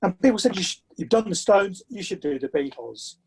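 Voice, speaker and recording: A man's voice: high voice, lively intonation, fairly quick, gravelly, a little mellow, breathy edge. A man of sixty from the south of England with a southern English accent, chatting and telling anecdotes as a podcast interview guest. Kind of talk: conversational interview storytelling.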